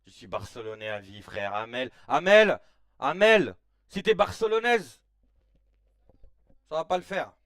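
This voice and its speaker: Excitable slangy man in his thirties. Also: fairly high pitch, measured pace, pauses often, clear, resonant tone, dry recording, studio quality, far from the mic. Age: 30s. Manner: excitable, slangy, shouts at players. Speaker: live-stream watch-along host. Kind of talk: casual live-stream chat and match reactions.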